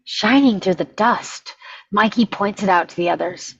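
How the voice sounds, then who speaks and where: Female narrator for script stage directions, raspy edge, medium pitch, slightly muffled lo-fi recording. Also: normal speed, no room sound. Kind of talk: scripted narration at a table read.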